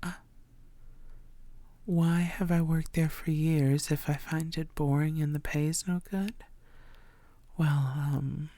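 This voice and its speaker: A woman playing a coy, secretive vampire who poses as a tired blood bank worker, speaking softly and playfully in an intimate audio roleplay. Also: low voice, steady tone, slow, long pauses, no echo. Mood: evasive, coy. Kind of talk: intimate scripted audio roleplay addressed to the listener.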